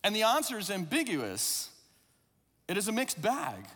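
South african guy preaching, pretty high voice, english is his second language, long echo like a big church hall. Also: wildly swooping pitch, moderate pace, bright, forceful tone. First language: not English. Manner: reflective, conversational preaching with occasional self-deprecating asides. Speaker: pastor. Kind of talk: sermon.

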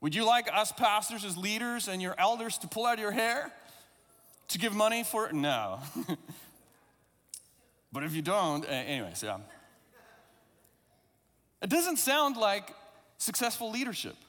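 A man's voice: high-pitched, big pitch swings, measured pace, long pauses, a bit bright, big-room sound. A man from South Africa, English not his first language, preaching a sermon in a reflective, conversational way with a few self-deprecating asides. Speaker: pastor.

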